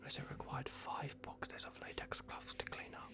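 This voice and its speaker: A performer voicing a soft-spoken, bumbling vampire doctor in an ASMR roleplay, whispering hesitantly and repeating reassurances. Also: normal speed, lightly acted. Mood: calm, instructing. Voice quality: whispered, creaky. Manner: hushed, hesitant, repetitive, reassuring.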